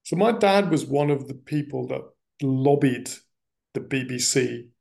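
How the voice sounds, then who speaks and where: A middle-aged man telling family history in a reflective, conversational interview style, often pausing and circling back mid-phrase. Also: medium pitch, lively intonation, vocal fry, a little mellow. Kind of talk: reflective personal storytelling in casual conversation.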